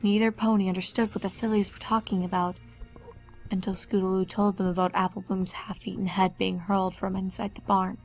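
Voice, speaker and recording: A woman's voice: medium pitch, flat delivery, fairly quick, faint music behind, low-quality recording. A woman reading fan fiction aloud as scripted story narration.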